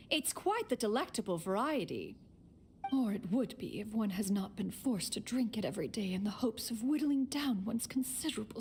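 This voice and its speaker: A woman's voice: fairly high pitch, a little mellow, slight background noise. A woman playing a haughty, scheming immortal mistress in a video game, with pompous, archaic formal speech. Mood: private grumbling. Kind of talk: video game character dialogue.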